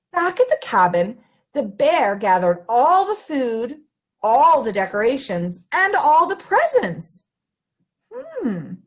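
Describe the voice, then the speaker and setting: A woman's voice: on the high side, husky. A woman hosting story time, reading to young children in an exaggerated, sing-song, interactive way. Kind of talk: animated picture-book read-aloud for young children.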